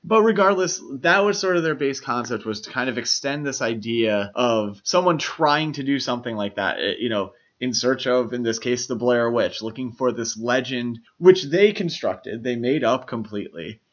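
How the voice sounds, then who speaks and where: A man's voice: mid-range voice, animated, normal speed, hardly any pauses. Man co-hosting a podcast, dull lo-fi sound. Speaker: podcast co-host. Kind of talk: casual film discussion.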